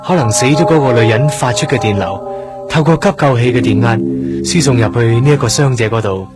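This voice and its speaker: A man with a Hong Kong accent playing an earnest, curious young trainee doctor in a radio drama, explaining things in a measured way. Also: medium pitch, loud music behind the voice, lightly acted. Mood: earnest, thoughtful. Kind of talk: Cantonese radio drama acting, explanatory dialogue.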